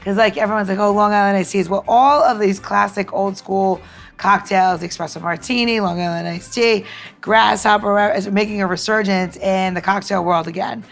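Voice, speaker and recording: A woman's voice: mid-range voice, steady tone, normal speed, vocal fry, soft background music. A woman co-hosting a podcast, joking playfully and acting out little quotes in casual chat. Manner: playful, jokey, acts out quotes. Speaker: podcast co-host making cocktails.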